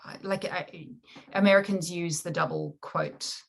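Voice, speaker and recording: A woman with a New Zealand accent talks in a loose, chatty way, often laughing and restarting sentences. Her voice sits a little low and has a gravelly fry to it. She sounds like a guest on a remote interview call. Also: steady tone, a little slow. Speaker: author guest. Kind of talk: casual interview chat.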